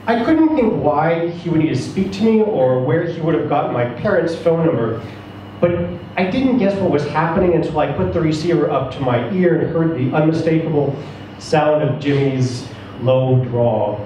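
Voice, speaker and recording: A man reads a first-person short story aloud with a dark, mellow tone. His voice rings heavily around the room and sounds set back from the microphone. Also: a little high, expressive, moderate pace. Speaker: story reader at a live reading. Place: echoey room, away from the mic. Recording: roomy, echoing, distant mic, faint noise.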